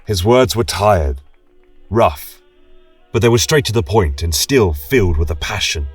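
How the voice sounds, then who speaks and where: A man's voice: sits low, animated, unhurried, slightly rough, far from the mic. A man narrating a scripted fantasy tale in a solemn, dramatic manner. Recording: echoey room, music behind. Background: choral music.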